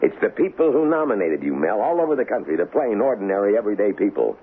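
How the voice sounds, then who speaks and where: An actor in a radio drama playing a shrewd, folksy, cynical political kingmaker with a glib, pushy patter and a gravelly voice. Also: male voice, lowish voice, very animated, fast, heavily acted. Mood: rousing, persuasive. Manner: glib, wheedling salesman's patter, wry. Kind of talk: old-time radio drama acting. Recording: muffled, noisy old radio broadcast.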